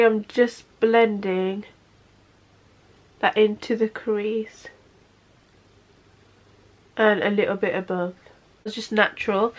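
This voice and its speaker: A woman's voice: medium pitch, rough recording. A woman, sniffly, talking through a makeup tutorial in a casual, chatty way from her bedroom. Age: 20s. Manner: chatty, keeps saying "obviously". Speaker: makeup vlogger. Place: bedroom, sitting on a bed.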